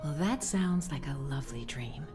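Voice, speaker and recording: Low, soft-spoken gentle mother priestess. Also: expressive. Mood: tender. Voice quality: soft, breathy. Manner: soothing, measured, formal. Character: gentle, tender mother, solemn temple priestess. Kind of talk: voiced game cutscene dialogue. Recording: game audio under clear music.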